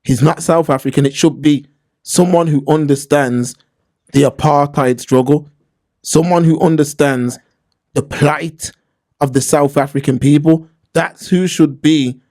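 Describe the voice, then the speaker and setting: A man's voice: on the high side, a little mellow. A man with a London accent giving opinions in casual podcast talk, slangy and emphatic, repeating points for stress. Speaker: podcast host.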